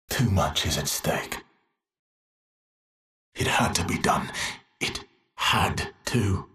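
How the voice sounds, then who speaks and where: An actor playing an anguished, guilt-ridden man in a dramatic video game, halting and breathy, often sinking to a whisper. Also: low voice, bright, forceful tone, echoey room, full character voice. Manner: halting, intense, confessional. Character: anguished, guilt-ridden man desperate to save his family.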